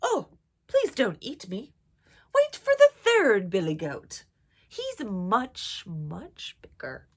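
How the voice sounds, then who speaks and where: A woman's voice: mid-range voice, huge pitch swings, takes it slowly, heavily acted. A woman voicing a middle-sized male billy goat in a storybook, earnest, with a fairly firm voice. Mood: pleading. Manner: firmer, less small voice, earnest.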